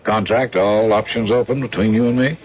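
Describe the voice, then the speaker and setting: An actor playing a brash, slangy, persuasive American showbiz agent in a radio drama, with a cocky hard-sell manner. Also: male voice, on the low side, fairly even intonation, brisk, lightly acted. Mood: pushy persuasion. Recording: dull, faintly noisy radio broadcast recording.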